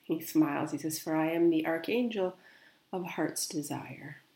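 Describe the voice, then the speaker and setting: Gentle woman in an echoey room. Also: low-pitched. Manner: gentle, soft, unhurried relaying of quoted words. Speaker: spiritual medium. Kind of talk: channeled guided meditation.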